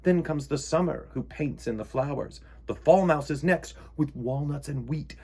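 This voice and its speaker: A man's voice: on the high side, normal speed, creaky, darkish tone, breathy edge, voice pushed up. A man voicing a dreamy little poet mouse from a children's storybook, declaiming verse as if on a stage. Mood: theatrical, grand.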